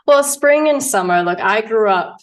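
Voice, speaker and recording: A woman's voice: on the high side, expressive, normal speed. Bubbly woman guest from Australia. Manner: bubbly, laughs often, chatty with fillers. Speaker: guest dietitian. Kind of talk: casual interview chat.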